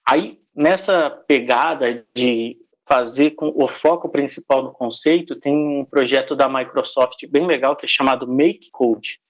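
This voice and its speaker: A man's voice: mid-range voice, measured pace, few pauses, dull audio. A man with a Brazilian Portuguese accent, patiently explaining a technology topic step by step in unscripted talk.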